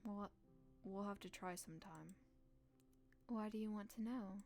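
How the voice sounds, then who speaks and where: A woman live streamer reading a visual novel aloud in a playful, expressive way with casual reactions. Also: medium pitch, a little slow, soft background music.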